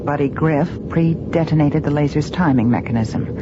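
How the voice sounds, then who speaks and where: A woman's voice: normal speed, loud music behind the voice, natural voice. An actress playing a serious, earnest female science officer who speaks precisely in a radio drama. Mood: somber. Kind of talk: naturalistic sci-fi radio drama acting. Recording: dull vintage recording, loud background rumble.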